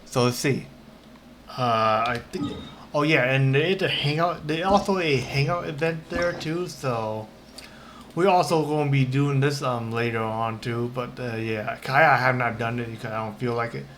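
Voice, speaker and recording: A man's voice: medium pitch, moderate pace, a bit bright, a little hiss or hum. Rambling man streaming casual gameplay. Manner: hesitant, rambling, repeats his words.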